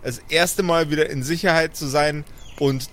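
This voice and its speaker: A man playing an exasperated but caring adventurer in an improvised tabletop audio play, insistent and lecturing in a halting way. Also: on the high side, fairly even intonation, fairly bright tone, close to an everyday voice. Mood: insistent, reasoning. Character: exasperated, caring male adventurer, pleading for reason.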